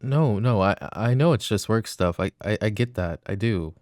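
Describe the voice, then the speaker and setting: Man acting a deep-voiced, loving but jealous boyfriend. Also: animated, darkish tone, no echo, studio quality, lightly acted. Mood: worried, insecure. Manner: hesitant, halting, intimate. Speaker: voice actor. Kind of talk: boyfriend roleplay ASMR.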